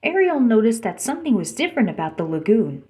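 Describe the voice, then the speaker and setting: A woman with a fairly high voice narrates a children's storybook. She reads a scripted tale aloud for young listeners. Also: very animated, quick pace, warm tone. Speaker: storybook reader.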